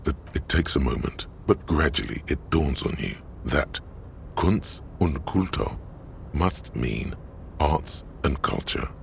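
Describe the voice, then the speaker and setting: An actor playing the dry, erudite inner voice of a detective's mind in a video game, measured and drily ironic, with a gravelly tone. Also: male voice, animated, a little hiss or hum, full character voice. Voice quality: very deep, gravelly. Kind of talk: scripted narration of a video game. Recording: music behind. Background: game music.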